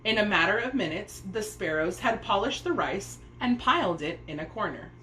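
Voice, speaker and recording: A woman's voice: mid-range voice, expressive, moderate pace, sharp tone. A woman reading a children's story aloud, narrating expressively in a room with echo and faint noise. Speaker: storybook reader.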